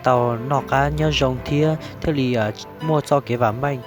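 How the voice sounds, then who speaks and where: A man's voice: mid-range voice, steady tone, moderate pace, lightly acted. A man voicing a male character in a comic cartoon story. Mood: talkative. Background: music.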